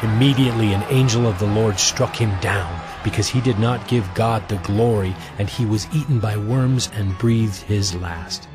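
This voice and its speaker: A man giving a scripted, solemn and measured narration for an audio drama of scripture. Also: on the low side, music in the background.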